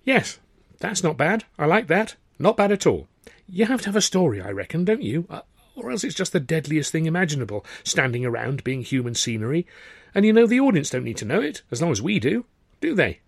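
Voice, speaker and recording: A man's voice: a little high, sing-song, gravelly, breathy edge, dry recording, studio quality, voice pushed up, heavily acted. A man voicing a bossy cheerful young woman. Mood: brisk. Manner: brisk, teasing, takes charge. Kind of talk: audiobook character voice.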